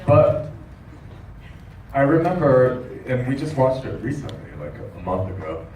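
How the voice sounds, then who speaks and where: A man's voice: mid-range voice, sing-song, a little slow, darkish tone. A man in the audience asking a long question in a rambling, chatty way with many fillers. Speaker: audience member. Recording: echoey hall, far from mic.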